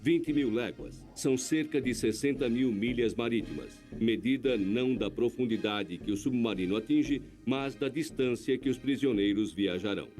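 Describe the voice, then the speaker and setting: A man narrating a documentary in a measured, scripted voice-over. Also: medium pitch, a little mellow, faint music behind.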